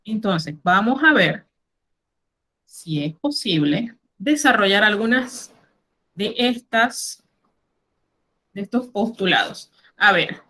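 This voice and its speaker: A woman's voice: mid-range voice, lively intonation, slow, pauses often, sharp tone. A middle-aged woman teacher with a Panamanian Spanish accent, teaching an online class and carefully explaining word lists. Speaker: French teacher.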